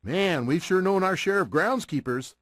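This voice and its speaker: A man's voice: sits high, lively intonation, moderate pace, dry recording, clean studio sound. A man playing an earnest, wholesome teenage boy who leads a gang of sleuths in a cartoon game. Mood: upbeat, confident. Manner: wholesome, eager, rallying.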